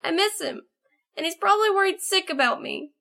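A woman's voice: very high-pitched, expressive, fairly quick, gravelly, pushed and bright, exaggerated voice. A woman voicing a small, homesick young female pony in a storybook, earnest and pouty with a pleading manner. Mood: tearful longing. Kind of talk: storybook character acting.

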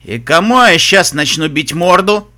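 A man voicing a grumpy, greedy zebra smuggler captain in an audiobook, with a hoarse, gruff voice and barking sailor talk. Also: on the high side, lively intonation, normal speed, sharp tone. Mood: angry, grumbling. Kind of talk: audiobook character voice.

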